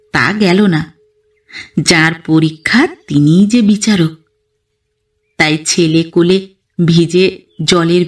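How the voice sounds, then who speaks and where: Female audio-story narrator, unhurried and expressive literary first-person reading, recorded slightly off the mic. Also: lowish voice, far from the mic.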